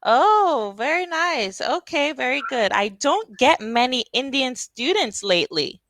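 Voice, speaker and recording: A woman tutoring English over a video call, speaking slowly and patiently and asking simple questions. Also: sits high, very animated, fairly bright tone, slight background noise. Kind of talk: casual tutoring conversation.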